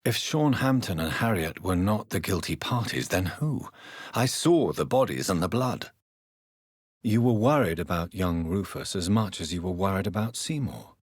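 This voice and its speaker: Deep husky english gentleman doctor from a video game, polite, grave, kinda probing. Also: animated, no echo, studio quality. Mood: courteous, probing. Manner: formal, polite, measured, questioning. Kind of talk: video game character dialogue.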